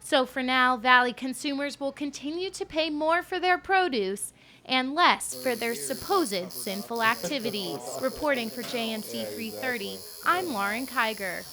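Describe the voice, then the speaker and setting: A woman in her twenties reading a scripted news report as a student reporter, with clear background noise. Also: high-pitched, expressive, normal speed, a crowd in the background. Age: 20s.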